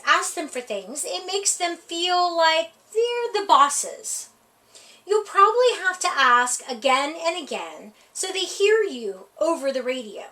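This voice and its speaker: A woman with a high voice reads a children's picture book aloud at storytime, her pitch swinging widely as she plays up the lines. The delivery is playful and expressive, and she sounds set back from the microphone. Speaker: library storytime reader. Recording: voice heard from a distance off the mic.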